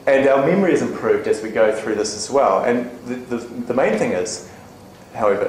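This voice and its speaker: A man presenting at a conference to a room of educators, talking in a chatty, animated way and checking in with the audience with quick "okay?" and "right?" tags. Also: fairly low pitch. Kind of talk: live conference talk to educators. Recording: echoey conference room, faint ambient noise.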